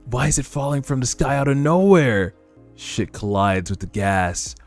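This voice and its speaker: A man's voice: medium pitch, animated, normal speed. A man giving casual, slangy reaction commentary as a streamer reading a webtoon aloud, with some swearing. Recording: music behind the voice. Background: background music.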